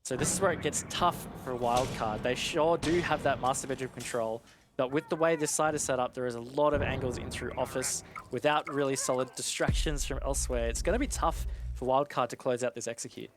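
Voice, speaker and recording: A man's voice: mid-range voice, a little fast. A man giving analytical esports commentary in a conversational, explanatory way. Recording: noisy game gunfire and clicks behind.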